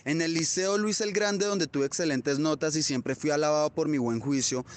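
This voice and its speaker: A man's voice: normal speed, lightly acted. A man playing a long-winded, self-important pedant telling a story in a literary radio drama. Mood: self-important, wordy. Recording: clear rumbling background noise.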